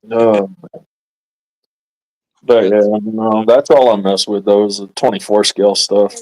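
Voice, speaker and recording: A man in casual chat, talking in a calm, explanatory, laid-back way. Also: lowish voice, measured pace, rough recording.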